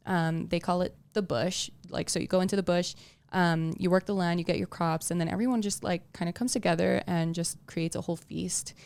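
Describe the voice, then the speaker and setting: A woman's voice: fairly low pitch, fairly even intonation, fairly quick, vocal fry, darkish tone, clean tone. A woman from Tonga whose first language is Tongan, chatting casually as a podcast guest, with plenty of fillers. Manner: chatty, many fillers like "like" and "you know". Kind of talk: casual interview chat.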